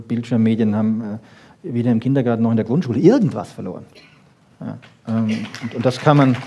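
Husky, emphatic male lecturer. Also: a little high, warm tone. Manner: emphatic, didactic, with frequent check-in questions. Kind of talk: informal public lecture on research.

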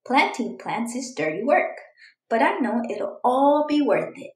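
A woman's voice: a little high, very animated, unhurried, roomy sound. A woman voicing an eager, dramatic young girl who chats and exclaims through her journal in a children's storybook. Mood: excited, proud. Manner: exclamatory, chatty, dramatic announcements. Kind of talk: children's storybook read-aloud.

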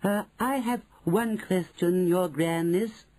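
A storyteller voicing fawning, excitable female witches in a children's storybook, shrill and gushing. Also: slow. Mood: timid. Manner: shrill, gushing chorus-like exclaiming.

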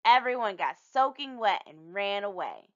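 Animated woman reading a picture book. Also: mid-range voice, normal speed, dull audio. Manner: animated, storytelling delivery for young children. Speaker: read-aloud storyteller. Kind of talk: expressive children's picture book narration.

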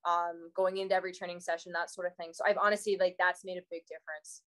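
A woman's voice: lowish voice, little pitch movement, fast talker, a bit bright. Chatty young Canadian woman. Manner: upbeat, chatty, many likes and fillers. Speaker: fitness competitor guest. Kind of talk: casual interview chat. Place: home room indoors.

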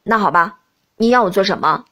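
A woman's voice: medium pitch, expressive, a little fast, sharp tone, voice pushed down. A woman voicing a flustered young princess who lives in a prince's body, hesitant and protesting, in an audiobook. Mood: resigned. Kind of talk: audiobook character dialogue.

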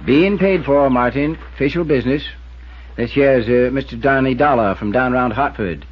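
An actor playing a stubborn, laconic small-town constable with a rural American accent and a husky, plain-spoken drawl, in a 1950s radio play. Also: male voice, medium pitch, moderate pace, a little hiss or hum, lightly acted. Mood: folksy, dry. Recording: tinny, narrow 1950s radio recording.

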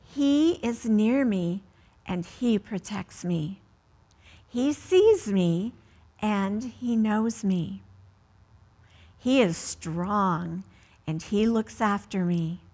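An American woman in her sixties speaking to children as a church story time host, in deliberate phrases with pauses. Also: medium pitch, dark tone. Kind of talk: read-aloud storytelling and prayer for children. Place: indoors, seated in a church.

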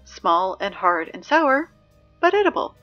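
A woman's voice: mid-range voice, very animated, measured pace, pushed and bright. Woman playing chatty school announcer girl in an audio drama, kinda exasperated and wry, slightly muffled sound w music under it. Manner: conversational, wry, hesitant asides.

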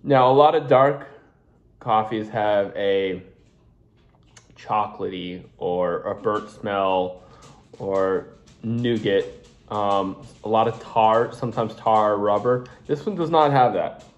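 Slow-talking man reviewing coffee. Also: lowish voice, lo-fi sound. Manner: rambling, restarts often, talks while working. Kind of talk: casual home coffee review. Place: home kitchen, indoors.

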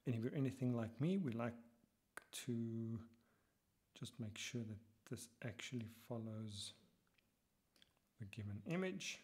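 A man talking through a software tutorial step by step as he works, muttering some lines to himself, with keyboard typing behind him. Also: medium pitch, expressive, a little slow, pauses often. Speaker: lecturer.

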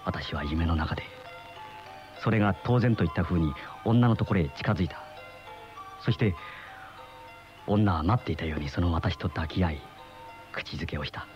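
An actor playing an uneasy middle-aged husband in a radio mystery, with brooding, dramatic storytelling. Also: male voice, sits low, moderate pace, long pauses, close to an everyday voice. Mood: brooding, dreamy. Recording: muffled old radio drama recording, music behind.

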